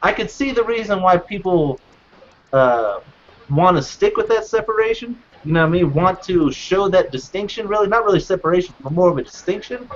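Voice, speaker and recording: A man co-hosts a casual podcast, swearing often and wandering through his points with restarts and fillers. His voice sits high for a man. The recording is dull and lo-fi. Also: a little hiss or hum. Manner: profane, rambling, with restarts and fillers. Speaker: podcast co-host. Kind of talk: casual chat.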